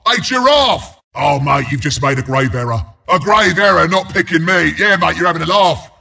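Gravelly Cockney porcupine brawler. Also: male voice, fairly high pitch, fairly quick, pushed and bright, heavily acted. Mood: indignant, incredulous. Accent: London Cockney. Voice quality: gravelly, raspy. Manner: cheeky, blunt, slangy banter. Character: scrappy, cocky porcupine brawler as battle announcer. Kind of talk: video game announcer lines.

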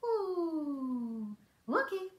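A middle-aged woman speaks to small children in a high, very animated voice. She hosts storytime with playful, singsong encouragement, taking her time over the words. The room adds some echo. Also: very slow. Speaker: children's storytime librarian host. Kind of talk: read-aloud, songs and rhymes for toddlers. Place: small book-lined office. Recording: roomy indoor echo.

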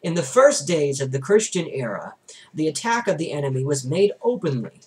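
Young man reading aloud with swooping pitch. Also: very animated, moderate pace, poor audio. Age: young adult. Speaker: reader, vlogger. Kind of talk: expressive book reading aloud. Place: small bedroom, indoors.

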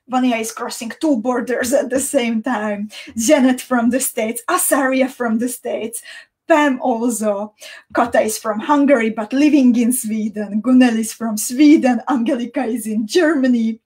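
A woman in her thirties hosts a casual craft livestream in a Central European accent. Her voice sits fairly high and she takes her time, speaking slowly and cheerfully, with small halting repeats. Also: a little mellow. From Slovakia. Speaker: beading teacher and livestream host. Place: small indoor home studio room.

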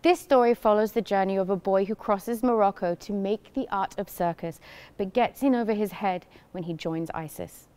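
A woman's voice: mid-range voice, moderate pace, darkish tone, a little hiss or hum. Woman hosting an awards show. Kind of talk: scripted awards presenting. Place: large empty theatre stage.

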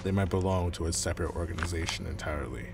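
A man's voice: low-pitched, fast talker, creaky, heavy background noise. A man playing a ruthless, coldly calculating corporate agent in an audio drama, controlled and menacing. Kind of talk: dramatic audio roleplay acting.

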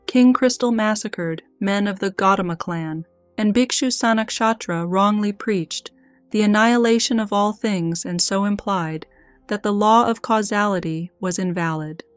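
A woman's voice: medium pitch, normal speed, no echo, lightly acted. A reverent disciple played by a woman speaks in a scripture audio drama. The recitation is formal, careful and earnest, dry and close, with music behind it.

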